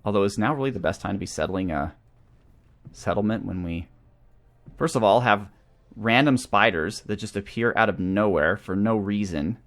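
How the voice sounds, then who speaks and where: A man's voice: fairly low pitch, normal speed. A man hosting a gaming channel, talking through a strategy game and thinking aloud as he goes. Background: video game music.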